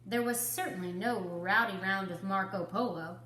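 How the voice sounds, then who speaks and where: A woman reading a children's story to kindergarteners, with deliberate, dramatic phrasing. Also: medium pitch, bright, forceful tone, reverberant, a little hiss or hum. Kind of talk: expressive children's story narration.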